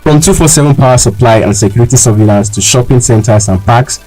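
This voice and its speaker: A man's voice: mid-range voice, fast talker, a little mellow, soft background music, close to an everyday voice, poor audio. A man as a polite company representative in a scripted radio advert interview. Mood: polished, promotional. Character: polite male estate company representative selling homes.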